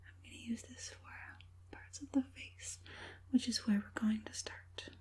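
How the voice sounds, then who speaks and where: Casting: a woman as an evil witch puppet master for ASMR roleplay, breathy whisper, slow and coaxing, quietly sinister. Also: on the high side, fairly even intonation, close to an everyday voice. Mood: softly businesslike. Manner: unhurried, coaxing, politely sinister.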